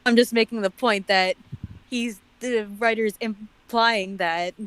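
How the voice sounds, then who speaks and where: A woman's voice: a little high, steady tone, takes it slowly, a bit bright, smooth, no echo. A woman chatting casually as a commentator, explaining her points in a matter-of-fact way. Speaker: guest commentator on a comedy reading show. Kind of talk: casual chat.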